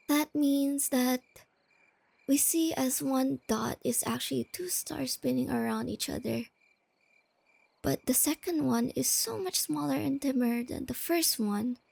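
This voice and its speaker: Woman playing a high-voiced shy nerdy girlfriend. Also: creaky, breathy edge, no room sound, heavily acted. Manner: gentle, intimate, enthusiastic about explaining facts. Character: shy, nerdy young girlfriend, sweet and eager stargazer. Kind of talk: soft-spoken ASMR roleplay.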